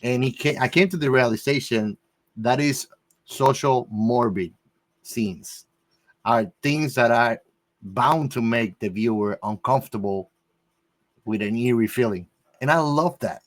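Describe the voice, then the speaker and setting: A man chatting casually about film as a podcast panelist, speaking in an expressive, emphatic way. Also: medium pitch, measured pace.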